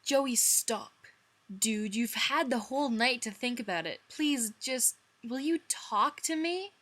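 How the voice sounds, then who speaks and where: A woman's voice: on the high side, animated, slow, noisy background, voice pushed up. A woman voicing a hurt, dim but devoted young man in a dramatic fanfiction reading, with an overwrought, pleading delivery. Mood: earnest, insistent.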